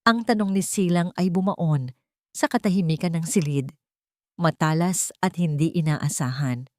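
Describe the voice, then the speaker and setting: A woman reads a scripted love story as narrator, in a measured, dramatic storytelling manner. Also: lowish voice, darkish tone, no room sound, studio quality.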